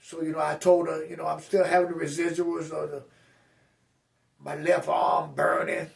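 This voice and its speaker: A man's voice: a little high, flat delivery, moderate pace, creaky, recorded from a distance. A man vlogging a casual personal update to his viewers, with slurred speech and a rambling, straight-talking way of speaking full of fillers and restarts.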